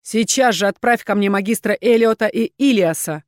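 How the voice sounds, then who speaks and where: A woman's voice: medium pitch, moderate pace, a little mellow, dry recording, lightly acted. A merciless male duke and rector from a fantasy audiobook, voiced by a female narrator. He speaks with cold scorn and stern command, like an interrogator, in a close, dry recording. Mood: grim command.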